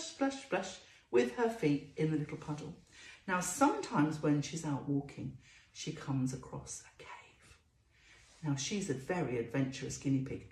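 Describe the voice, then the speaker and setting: Female children's storyteller, very lively sing-song delivery, drawn-out key words, roomy echoing recording. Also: deep voice. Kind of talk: storytelling to young children with questions and phonics.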